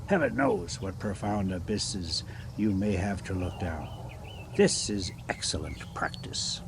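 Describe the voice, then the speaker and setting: A man's voice: low voice, unhurried, gravelly. Man reading as a stern, commanding older uncle. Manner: commanding, old-fashioned formal speech. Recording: steady background noise.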